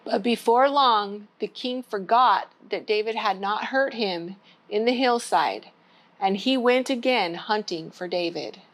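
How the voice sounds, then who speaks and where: A woman's voice: mid-range voice, a little slow, fairly bright tone, low-quality recording. A woman hosting a children's storytime, reading a storybook aloud in simple language aimed at young children. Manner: simple, child-directed address. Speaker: children's storytime host at a church.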